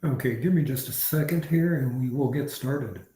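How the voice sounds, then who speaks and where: A man from Tennessee giving a webinar presentation over a video call, measured, with many fillers and restarts. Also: medium pitch, dark tone, roomy sound, low-quality recording.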